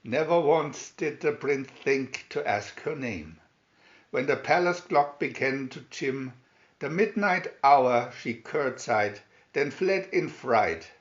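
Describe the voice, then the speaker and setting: A man's voice: medium pitch, expressive, slow, highs cut off. A man narrating a fairy tale aloud from a storybook in a dramatic style.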